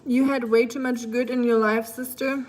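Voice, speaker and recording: A woman's voice: fairly high pitch, flat delivery, normal speed, darkish tone. A young woman with a German accent hosts a livestream, chatting casually and playfully and reading viewer messages aloud. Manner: chatty, laughs often, playful asides. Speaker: livestream host.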